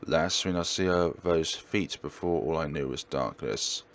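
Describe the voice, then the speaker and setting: A man's voice: a bass voice, unhurried, soft background music. A man narrating a horror game as a let's player, reading the script aloud theatrically and adding his own commentary.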